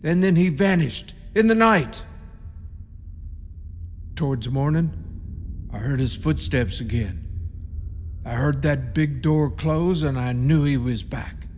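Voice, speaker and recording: An actor playing an eerie rural caretaker of a haunted tomb in a radio play, telling it in a halting, ominous, folksy way. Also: male voice, a little high, lively intonation. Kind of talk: radio play horror storytelling. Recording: reverberant radio drama, audible background noise.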